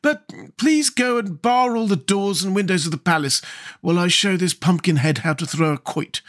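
Man putting on a high, unflappable scarecrow king. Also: moderate pace, a bit bright. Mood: calm, unbothered. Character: calm, cool male scarecrow king. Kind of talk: storybook character voice.

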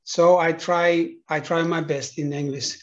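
A man's voice: on the high side, level tone, a little slow, dull audio. A middle-aged man, hosting an interview over a video call and phrasing his questions carefully and hesitantly. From Finland. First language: Finnish. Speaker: interviewer and channel host.

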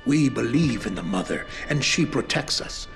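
An actor playing a wary, devout middle-aged village leader in a video game, speaking in a solemn, measured way. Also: male voice, medium pitch, animated, creaky, faint music behind. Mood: devout, stern.

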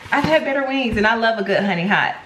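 An echoey room with some background noise surrounds a young American woman. She chats casually like a lifestyle vlogger in her kitchen, wandering through stories and asides in an animated way. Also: fairly high pitch, fast talker. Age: young adult. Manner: chatty, animated storytelling with rambling asides. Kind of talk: casual chat vlog. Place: home kitchen, indoors. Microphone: camera on the counter, about arm's length.

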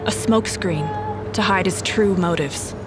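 An actress playing a determined young agent in an audio drama, speaking urgently over a music bed. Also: female voice, lowish voice, a little slow, lightly acted. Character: determined young woman federal agent hunting a killer.

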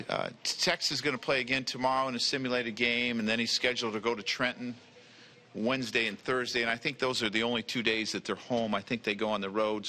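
A man in his forties, a baseball manager, answering reporters at a press conference table mic, measured and often repeating words as he starts. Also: mid-range voice, bright, forceful tone. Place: press room in front of a team backdrop. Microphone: table mic with a logo flag.

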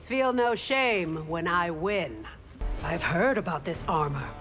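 Lower-pitched, confident warrior woman. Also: lively intonation, slow, a bit bright, faint music behind. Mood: confident, devout. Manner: confident, solemn. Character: devout, disciplined, confident female warrior. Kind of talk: dramatic video game dialogue.

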